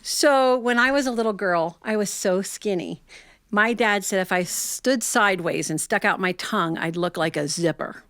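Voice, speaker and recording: A middle-aged woman from the United States telling humorous personal stories to a live audience in a folksy, confiding way with dramatic pauses. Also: mid-range voice, noisy background. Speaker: storyteller. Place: performance studio with a live audience.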